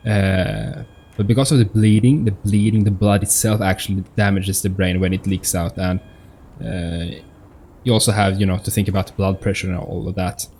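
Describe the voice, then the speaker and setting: Lowish-voiced man doing casual game commentary. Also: a little mellow. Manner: musing, hesitant, reacts aloud. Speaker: gaming streamer. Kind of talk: casual let's play commentary. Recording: faint noise, game audio behind. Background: video game sound.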